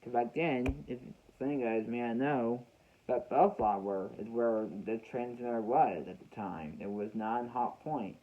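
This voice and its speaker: Halting young American man, muffled lo-fi recording. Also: mid-range voice. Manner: halting, repeats and rephrases phrases. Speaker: weather radio hobbyist vlogger. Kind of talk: casual unscripted storytelling to viewers. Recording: dull, muffled lo-fi home recording.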